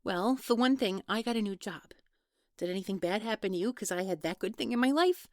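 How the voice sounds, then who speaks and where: A woman playing a guarded, awkward young woman in an audio drama, speaking naturally in a halting, hesitant way. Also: mid-range voice, animated, dry recording, clean studio sound, natural voice. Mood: agitated, defensive. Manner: hesitant, halting, trailing off, nervous laughs. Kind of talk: naturalistic one-sided audio roleplay acting.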